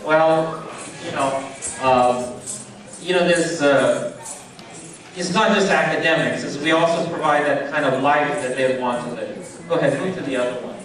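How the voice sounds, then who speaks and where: A man's voice: a little high, moderate pace, long pauses, a bit bright. A middle-aged man speaking informally to an audience at a charity event, chatty and checking in with listeners, heard through a PA speaker from a distance. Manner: conversational, checks in with audience, many fillers. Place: event hall. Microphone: PA speaker, recorded from a distance. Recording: very echoey hall, voice from far off.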